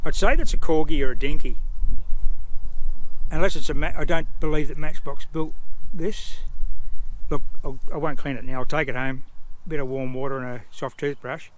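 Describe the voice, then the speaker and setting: A man's voice: a little high, steady tone, moderate pace, fairly bright tone, poor audio. A man with an Australian accent chatting enthusiastically about his metal detecting finds outdoors. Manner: enthusiastic, chatty, thinks aloud. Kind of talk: casual on-site commentary. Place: outdoors at a rural abandoned site.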